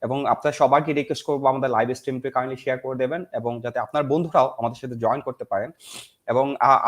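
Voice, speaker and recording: A man hosts a livestreamed panel at a very rapid pace. His pitch sits in a middle range, and his talk is packed with fillers and restarts as he moves between English and Bengali. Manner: filler-heavy, switches to fast Bengali. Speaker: host.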